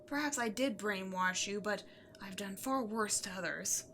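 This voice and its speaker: A woman playing an imperious, scheming demon queen in a dramatic audio roleplay, seductive and easily exasperated, moving between outbursts and breathy near-whispers. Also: mid-range voice, moderate pace, fairly bright tone, a little hiss or hum, close to an everyday voice. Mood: puzzled, dawning realization. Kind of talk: scripted audio roleplay, theatrical monologue to the listener.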